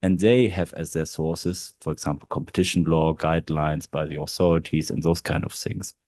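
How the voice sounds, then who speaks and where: German-accented man, low mellow voice. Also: steady tone, normal speed, no echo, clean studio sound. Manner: hesitant, many "uh" fillers. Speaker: in-house counsel panelist. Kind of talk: panel talk at a law event. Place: large historic hall.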